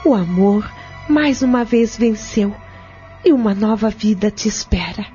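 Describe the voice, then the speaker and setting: An actress playing a loving, serene spirit wife in a radio drama, solemn and tender in manner. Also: female voice, mid-range voice, measured pace, warm tone, lightly acted. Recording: dull lo-fi radio recording with music.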